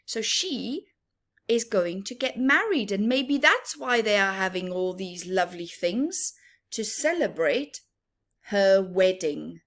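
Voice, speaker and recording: A woman reading a picture book aloud to children in an expressive, teacherly way, adding simple explanations and questions for the listener. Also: mid-range voice, takes it slowly. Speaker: children's story time reader.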